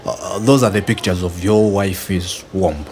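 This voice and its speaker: A man's voice: low voice, steady tone, measured pace, a little mellow. A man with a Ugandan accent acting a grave, careful, hesitant doctor in a radio drama. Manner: hesitant, explanatory. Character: grave, careful male doctor breaking bad news. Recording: background ambience noise.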